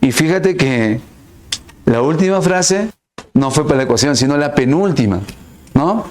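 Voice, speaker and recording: A male math teacher explaining a problem step by step, asking rhetorical questions and stressing key words with big swings in pitch. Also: lively intonation, a little slow. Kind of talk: recorded online class.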